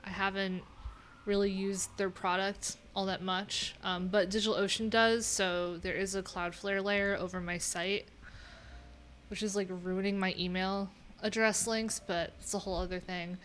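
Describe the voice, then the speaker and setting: A woman streams from a small room at home with faint noise behind her. Her voice sits at an average pitch. She talks in an offhand, wry way, thinking aloud as she goes through what is on her screen. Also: little pitch movement, normal speed, fairly bright tone. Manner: offhand, wry, chatty asides while reading screen. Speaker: livestream host and web developer. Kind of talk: casual live coding stream chat, thinking aloud.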